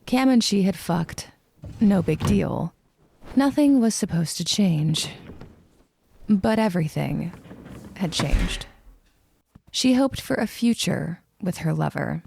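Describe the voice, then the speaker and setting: A woman narrates romance fiction in a low, heavily creaky voice. Her delivery is slow and mellow, over some background noise. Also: animated. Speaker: audiobook narrator.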